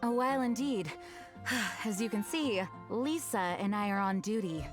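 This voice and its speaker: An earnest young knight leader played by a woman with a medium-pitched voice. She speaks formally and firmly, composed and stern, in video game style over music. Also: expressive, measured pace, natural voice.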